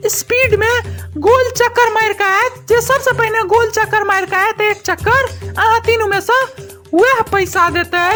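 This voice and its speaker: A woman's voice: very high voice, pushed and bright, heavily acted. A woman voicing a gullible, plaintive young village shopkeeper who explains at length in a comic cartoon. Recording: music behind the voice.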